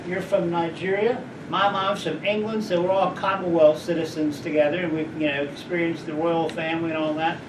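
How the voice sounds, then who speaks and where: Rambling high-voiced man in an echoey room. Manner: rambling, anecdotal, asks the audience questions. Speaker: guest speaker. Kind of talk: informal lecture to students. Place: college lecture room. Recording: echoey room, distant mic, background noise.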